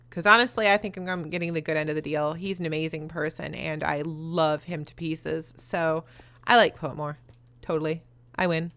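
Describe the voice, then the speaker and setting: Give me a loud, fast-talking young woman with a bright voice, chatting casually as a host. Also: mid-range voice. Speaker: YouTuber host. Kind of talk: casual Q&A while playing a video game.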